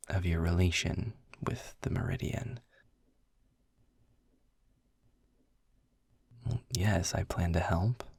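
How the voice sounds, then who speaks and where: A man performs a proud, sarcastic demon guiding a pupil in an intimate audio roleplay. His voice is very deep and husky, with a gravelly creak. He phrases things deliberately, with dry, sardonic asides spoken straight to the listener. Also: darkish tone, no room sound, full character voice. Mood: patient, teasing. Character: sarcastic, snarky adult male demon mentor, worldly and proud.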